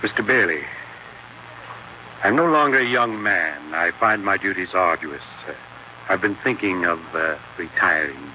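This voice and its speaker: An aging, sly blackmailer in an old radio play. His low voice is polite, halting and insinuating. The recording is dull with faint noise. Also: lively intonation. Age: older. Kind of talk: 1940s radio acting.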